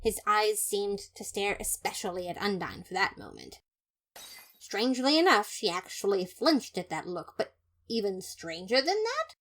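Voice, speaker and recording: Woman doing a proud earnest skeleton detective guy narrating his case, theatrical and emphatic, fan audio drama, medium pitch. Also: wildly swooping pitch, moderate pace, a bit bright, exaggerated voice. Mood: earnest, dramatic. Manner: theatrical, self-satisfied, emphatic.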